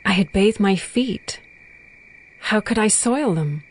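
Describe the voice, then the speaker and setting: Lovesick young bride, slow and yearning. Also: female voice, mid-range voice, lively intonation. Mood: dreamy longing. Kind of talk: dramatized scripture reading. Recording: music bed behind the voice.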